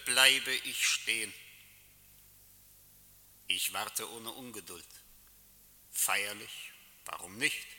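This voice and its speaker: An actor playing Death as a solemn, majestic coachman, declaiming deliberately in a radio play. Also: mid-range voice, bright, forceful tone. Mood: quiet, solemn. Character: Death personified, solemn, majestic male coachman come to collect souls. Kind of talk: German radio play acting. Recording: long reverberant hall echo.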